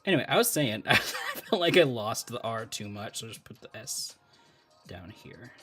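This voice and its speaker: A man talking casually on a live stream while he draws, thinking out loud, laughing often and chatting with viewers. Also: mid-range voice, animated, moderate pace, soft background music.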